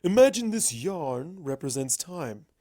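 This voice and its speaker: A man's voice: on the high side, very animated, a little slow, mellow, dry recording, clean studio sound, heavily acted. A man voicing a courteous, scholarly male pony wizard from the past, formal and explanatory, in a story reading. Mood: keen, explaining.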